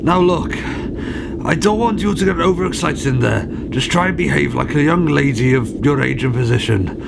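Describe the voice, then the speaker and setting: Male actor as older father, gravelly and fairly high voice, fussy, proper, grumbling, radio drama, loud background noise. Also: normal speed. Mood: weary, stern. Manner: formal, old-fashioned phrasing. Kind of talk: radio play acting. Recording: heavy ambient noise behind the voice.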